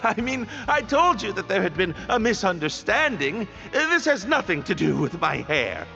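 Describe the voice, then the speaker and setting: A nervous, polite young-sounding prisoner from a video game, high and bright with wildly jumping pitch. He stammers and hesitates evasively in an echoey space with music behind. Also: big pitch swings, normal speed, vocal fry, muffled recording, exaggerated voice. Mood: flustered, evasive. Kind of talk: video game character dialogue.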